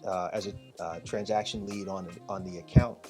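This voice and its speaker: A middle-aged American man with a fairly low voice shares personal memories in an interview. He talks reflectively and haltingly, often quoting conversations as he goes. Music plays underneath. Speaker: interview guest, pastor.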